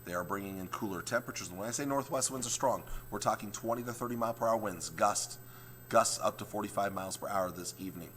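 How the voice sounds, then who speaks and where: A male meteorologist, hoarse and struggling with his voice, walking viewers through the morning forecast. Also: on the low side, quick pace, fairly bright tone, a little hiss or hum. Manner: explains maps step by step, repeats points.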